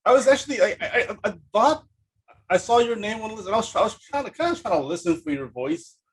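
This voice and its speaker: Higher-voiced, husky man hosting casually. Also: big pitch swings, fast talker. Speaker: podcast host. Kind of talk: casual interview chat.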